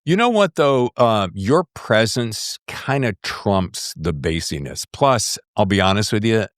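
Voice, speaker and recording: Bassy American man, animated podcast host. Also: a little slow. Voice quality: bassy, deep voice. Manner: effusive, emphatic, jokey. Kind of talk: enthusiastic podcast intro and casual interview chat.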